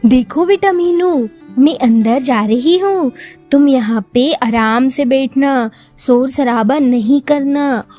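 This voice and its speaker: Poor maid and mother, played by a woman: high voice, earnest, protective, storybook drama over music. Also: slow pace, warm tone. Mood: cautioning. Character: anxious, caring poor mother working as a maid. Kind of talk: acted children's moral story. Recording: music behind the voice.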